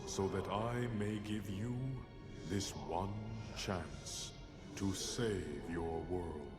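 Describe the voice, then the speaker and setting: An actor playing a solemn, all-seeing cosmic alien in a superhero game, portentous and deliberate, with long dramatic pauses. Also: male voice, deep voice, fairly even intonation. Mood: solemn, grave. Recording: echoing reverberant voice, loud music.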